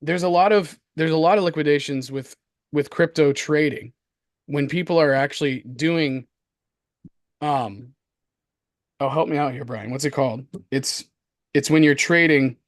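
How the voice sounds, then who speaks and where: A man with a hoarse voice co-hosts a casual market commentary show, talking in an excitable, slangy, repetitive way. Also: on the high side, measured pace, pauses often, dry recording, clean studio sound. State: hoarse from coughing. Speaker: crypto show co-host.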